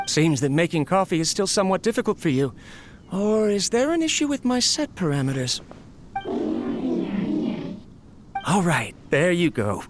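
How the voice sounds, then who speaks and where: Lonely male inventor, anime game monologue, somewhat high pitch, weary, wistful, gentle, slight background noise. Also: sing-song, fairly quick. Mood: fond, caring. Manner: soft-hearted, wistful, talks tenderly to his machine.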